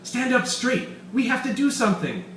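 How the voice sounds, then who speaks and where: A man's voice: extremely high pitch, sing-song, normal speed, a bit bright, pitched above the performer's own voice, heavily acted. Story role: man as a sceptical lady academic, dry and challenging, distant in a roomy space. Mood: urgent. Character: sceptical, scornful female professor. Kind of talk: live short-story reading. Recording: roomy echo, distant mic, faint room noise.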